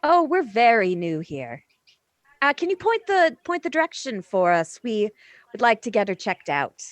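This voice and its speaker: A woman playing a tabletop game, speaking in character in an animated, cheerful way. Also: fairly high pitch, moderate pace, dry recording, lo-fi sound. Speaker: player. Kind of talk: in-character roleplay.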